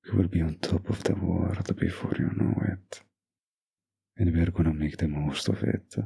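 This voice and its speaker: A male actor plays a mafia boss's heir and devoted boyfriend in an intimate audio roleplay. His voice is very low and dark, with a heavy gravelly rasp. He speaks slowly and tenderly, sure of himself and possessive of his sweetheart. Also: steady tone, heavily acted. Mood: dreamy, tender. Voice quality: low gravelly, breathy murmur. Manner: slow, tender, possessive sweet talk with pet names. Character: doting, dominant young mafia heir boyfriend. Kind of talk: intimate roleplay audio drama, scripted monologue.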